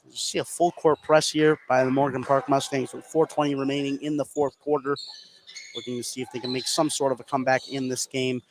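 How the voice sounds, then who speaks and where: A man calling live basketball play-by-play from courtside, excitable and ready to raise his voice on big plays. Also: medium pitch, a little fast, rough recording. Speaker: play-by-play announcer. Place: courtside in a gym. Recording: clear background noise on live broadcast.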